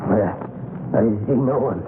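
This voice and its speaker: An actor playing a tormented, pleading captive man in a 1930s radio drama, agitated and halting. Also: mid-range voice, lightly acted. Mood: bewildered. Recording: scratchy, noisy, narrowband 1930s radio recording.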